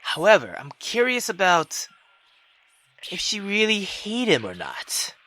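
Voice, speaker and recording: A woman gamer comments casually on a visual novel and reads it aloud. She thinks out loud, hesitates and swears. Her pitch is very lively, though her pace is on the slow side. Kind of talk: casual reactive commentary and read-aloud narration.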